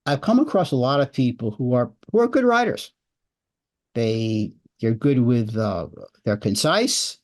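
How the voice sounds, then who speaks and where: A man's voice: mid-range voice, darkish tone. A man speaking as a podcast guest, a business writing coach who explains his points conversationally, often repeating words as he thinks. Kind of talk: podcast interview.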